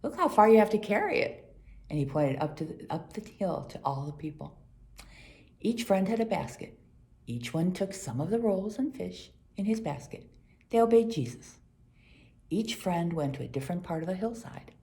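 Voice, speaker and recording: A woman with a low, mellow voice reads a scripted children's Bible story. Her delivery is expressive, with lively swings in pitch that suit storytelling. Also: moderate pace, vocal fry. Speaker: storybook reader.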